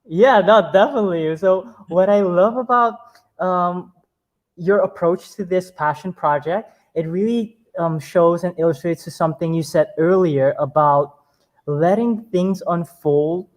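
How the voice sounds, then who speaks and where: Podcast host guy, kinda high voice, super enthusiastic, lots of ums. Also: a little slow, darkish tone. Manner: enthusiastic, affirming, hesitant fillers. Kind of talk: casual interview chat.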